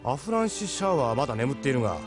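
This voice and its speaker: A man's voice: medium pitch, sing-song, quick pace, over music, close to an everyday voice. A man voicing a seasoned, middle-aged ship captain in an audio drama, persuasive, frank and worldly. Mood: musing, explanatory. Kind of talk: Japanese audio drama acting.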